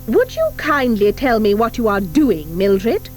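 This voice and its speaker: A woman's voice: fairly high pitch, wildly swooping pitch, unhurried, darkish tone, faint music behind. A woman voicing a stern, icy schoolmistress witch in an audiobook, with a clipped, disdainful and sarcastic manner. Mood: frosty.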